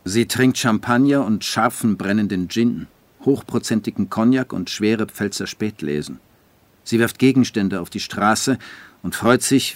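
An actor playing a disillusioned writer who narrates his life in a radio play, in a measured, literary way. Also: lowish voice, no echo, close to an everyday voice. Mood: bitter, resigned.

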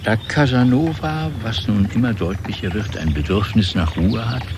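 A man narrating a radio drama in a literary, scripted style with deliberate phrasing. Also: fairly low pitch, background noise.

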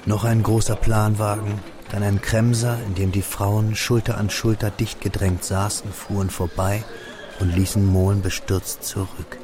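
Low-voiced male radio drama narrator. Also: level tone, unhurried, crowd noise.

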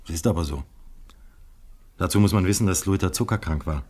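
A man acting in a 1970s German radio crime drama as a shrewd, courteous Dutch police inspector, formal and measured. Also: low voice, darkish tone. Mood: grave, measured.

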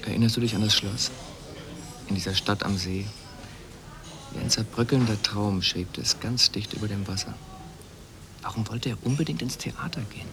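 A man's voice: lowish voice, moderate pace, long pauses, a crowd in the background, close to an everyday voice. An actor playing a curious, wistful intellectual man in a radio play, talking in a musing, probing way. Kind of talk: German radio play acting. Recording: noisy ambient background.

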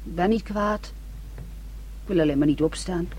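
A woman's voice: fairly low pitch, moderate pace, darkish tone, a little hiss or hum, close to an everyday voice, poor audio. An actress in a radio drama playing a sulky, stubborn young woman. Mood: sullen. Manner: sullen, stubborn. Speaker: actor.

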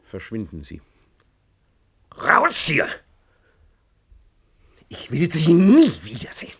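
An actor playing a condescending, defensive university professor in a German radio play, patronising and quick to take offence. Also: male voice, a little high, huge pitch swings, fairly bright tone, exaggerated voice. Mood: outraged. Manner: patronising, indignant.

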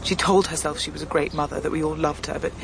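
An actress with a British accent playing a troubled, bitter young woman in a radio drama, subdued and brooding, with a creaky voice. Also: sits low, fairly even intonation, fast talker. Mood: bitter, wary. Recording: noisy background ambience.

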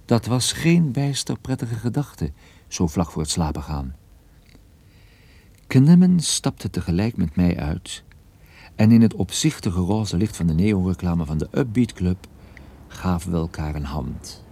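An actor playing a wry, sardonic male private detective, narrating his own case in a measured radio drama style. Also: low-pitched, sing-song, gravelly, mellow, husky, dry recording, full character voice. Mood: wry, reflective.

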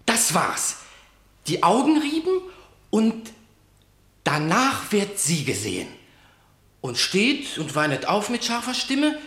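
A man's voice: high-pitched, fairly bright tone, echoey room, exaggerated voice. A male actor playing an agitated guard who reports to his king in declamatory verse, in a radio play. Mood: solemn, troubled.

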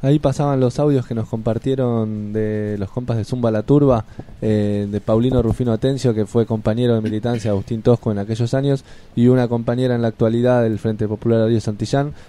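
A man's voice: medium pitch, steady tone, brisk, warm tone. A man co-hosting a community radio show, chatting casually and searching for words with frequent fillers and restarts. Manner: hesitant, many fillers and restarts while explaining. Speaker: radio co-host.

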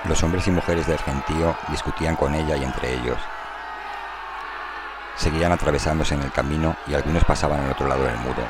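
A man narrating a dramatized novel in a measured, literary storytelling style. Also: very deep voice, fairly even intonation, over a noisy crowd. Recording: prominent music under the voice.

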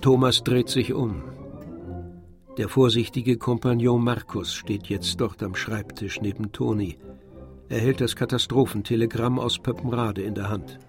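A man's voice: medium pitch, steady tone, measured pace. Male narrator of a literary radio drama. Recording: faint music behind the narration. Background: faint music.

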